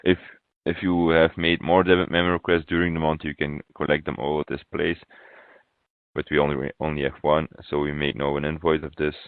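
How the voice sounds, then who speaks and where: Very deep-voiced man on a thin phone-like line. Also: little pitch movement, no room sound. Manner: halting, pausing mid-phrase. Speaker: software consultant presenting a webinar demo. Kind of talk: instructional software walkthrough. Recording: thin, phone-like webinar audio.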